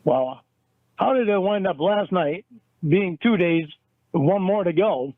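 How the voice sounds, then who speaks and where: A man's voice: high voice, animated, slow pace, no room sound. A man arguing on a phone call, repeating accusations and stumbling over his words. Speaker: caller in a recorded phone argument. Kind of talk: heated phone argument. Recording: tinny dry phone line.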